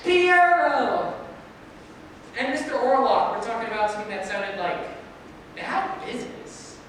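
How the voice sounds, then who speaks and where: Woman playing a dim excitable guard, far-off hall. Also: a little high, a little slow. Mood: rambling. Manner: rambling, excitable, forgetful of names. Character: dim, lazy, boastful palace guard, gossipy. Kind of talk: staged reading of a fairy tale play. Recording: very echoey hall from far off, noisy.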